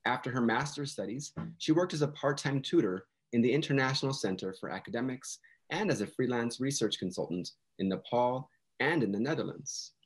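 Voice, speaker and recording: A man co-hosting a podcast, talking in a measured way and hedging with "you know" and "I think". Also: mid-range voice, breathy edge, low-quality recording. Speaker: podcast co-host.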